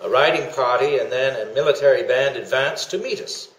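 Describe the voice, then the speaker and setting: An older man voicing a formal 19th-century British army officer in a measured dramatic memoir reading. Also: mid-range voice, fairly bright tone, close to an everyday voice. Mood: formal, reflective. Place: indoor meeting room. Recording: echoey room.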